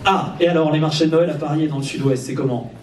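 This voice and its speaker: A man's voice: on the high side, fast talker. A man hosting a live fan event, chatting casually with the audience in a playful, teasing, informal way. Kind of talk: casual live stage interview with audience banter. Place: cinema auditorium before an audience. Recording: echoey hall, distant mic, audience noise. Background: audience crowd.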